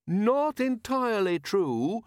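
A man voicing a gentle, absent-minded male inventor in a storybook, diplomatic and earnest, with a mild, apologetic, rambling manner. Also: a little high, very animated, normal speed, no room sound, clean studio sound, voice pushed up.

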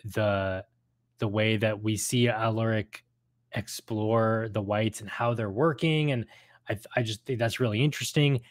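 A man's voice: on the low side, fairly even intonation, measured pace, no echo. A man hosting a casual discussion of an audio drama, speaking hesitantly as he searches for words. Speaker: host discussing a radio drama episode. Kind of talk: casual chat.

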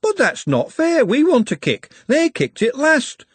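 A man's voice: very high-pitched, wildly swooping pitch, measured pace, dry recording, pitched above the performer's own voice, full character voice. A man voicing a petulant, aggrieved man complaining that the match rules are unfair, in an audiobook. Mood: indignant. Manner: whiny and aggrieved.

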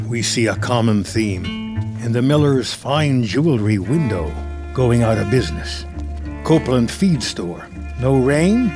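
A man reading scripted screenplay narration as the narrator of a drama. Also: medium pitch. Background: music.

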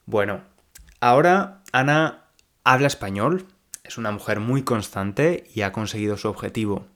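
A young man from Spain with a Spanish accent, hosting a podcast lesson for learners and speaking slowly and clearly like a teacher. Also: medium pitch. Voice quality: slow, clear teacher speech.

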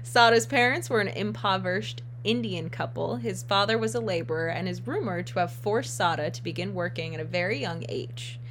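A woman's voice: mid-range voice, fairly quick, hardly any pauses, fairly bright tone, slight background noise. A young woman co-hosting a casual true-crime podcast. She teases her co-host, giggles a lot and stumbles over words while reading her notes.

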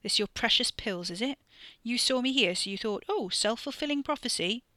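A woman's voice: fairly high pitch, lively intonation, normal speed, pushed and bright, dry recording, voice pushed up. Woman voicing a blunt, sweary British young man. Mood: mocking.

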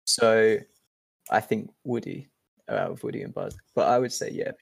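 A man's voice: fairly low pitch, wildly swooping pitch, moderate pace, gravelly. A man co-hosting a podcast in casual, joking chat, laid-back and agreeable, often repeating his words. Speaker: podcast co-host.

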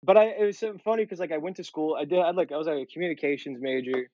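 A man's voice: a little high, rapid-fire, dry recording, highs cut off. A young man from Ohio, a comedian guest telling stories in casual podcast chat with lots of fillers and swearing. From Ohio, USA. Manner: rambling, many fillers, swearing.

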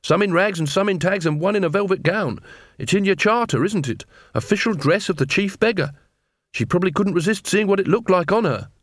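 A man voicing an earnest young city watchman who explains things calmly and matter-of-factly in a story. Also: high voice, fairly quick, no room sound, studio quality, voice pushed up. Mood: earnest, reasoning.

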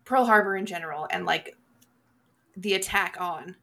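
The co-host on a casual movie podcast who wanders off on tangents, a woman with a gravelly fry in her voice. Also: on the low side, moderate pace, sharp tone. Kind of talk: casual chat about horror movies.

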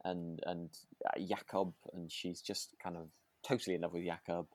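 A man's voice: sits low, flat delivery, normal speed, no echo. A man co-hosting a podcast, casually recapping in a hesitant way as he searches for words. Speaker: podcast co-host. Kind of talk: casual chat recapping a radio drama.

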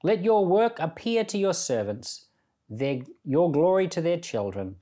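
A middle-aged man giving Bible reading and devotional commentary in an earnest, conversational way with deliberate phrasing. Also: fairly high pitch, big pitch swings, dark tone.

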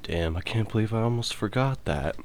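A man's voice: fairly low pitch, animated, fairly quick, a little hiss or hum. A man hosting his own podcast, talking in a laid-back, rambling way with loose fillers. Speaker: podcast host. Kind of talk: casual solo chat.

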